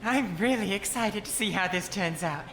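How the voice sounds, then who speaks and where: A woman's voice: medium pitch, lively intonation, normal speed, reverberant, very noisy, lightly acted. A woman voicing a timid, hesitant young man who mumbles, in a fan audio drama. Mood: shy. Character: shy, meek young man.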